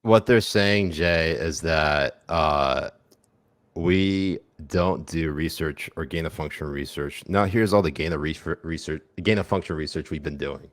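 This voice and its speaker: A man co-hosting a political podcast, his voice very low and deep. He talks in a wry, profane way, stumbling through fillers and restarts. The sound is dry and close. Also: unhurried, no room sound. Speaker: podcast co-host. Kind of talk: casual political podcast chat.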